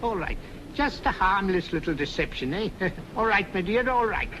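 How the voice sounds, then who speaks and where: A man's voice: high voice, animated, moderate pace, noisy background. An actor playing a kindly, doddering old clergyman who fusses, hesitates and repeats himself in a drama. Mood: cheerful. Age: older. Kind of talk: old film-style radio drama acting.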